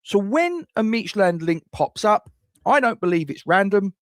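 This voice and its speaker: A man's voice: sits high, very animated, normal speed, no room sound, studio quality. A middle-aged man hosts a football fan channel, giving scripted transfer rumour commentary with wry, self-mocking jokes.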